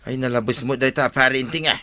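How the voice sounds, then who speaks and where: A man acting a sharp-voiced, grumbling motorcycle owner. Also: medium pitch, steady tone, a little fast, natural voice. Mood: worried. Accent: Ilocano. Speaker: actor in an Ilocano radio comedy drama. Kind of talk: comedic radio drama acting.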